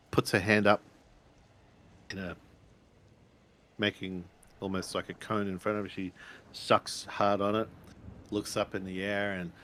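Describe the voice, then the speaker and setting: A man with a fairly low voice narrates a tabletop role-playing game. He describes the action as he makes it up, in short, halting phrases. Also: a little hiss or hum.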